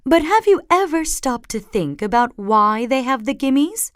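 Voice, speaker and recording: A woman voicing a prim, sensible mother bear in a storybook, measured and gently admonishing. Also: sits high, big pitch swings, no echo, studio quality, voice pushed up. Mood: calm reasoning.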